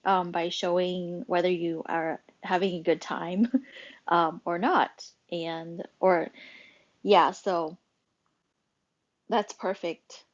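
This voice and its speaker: A woman moderates a casual online audio room at a slow pace. She thinks aloud in a halting, rambling flow. The sound is slightly dull and lo-fi, like an internet voice chat. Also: on the low side. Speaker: host and moderator of a live social audio room. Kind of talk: casual unscripted group chat. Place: live social audio app call.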